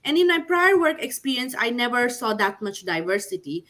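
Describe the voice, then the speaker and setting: A woman's voice: on the high side, big pitch swings, moderate pace, a bit bright. A young woman from Nepal on a video call, answering panel questions earnestly with many fillers like "like" and "you know". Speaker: MBA graduate panelist.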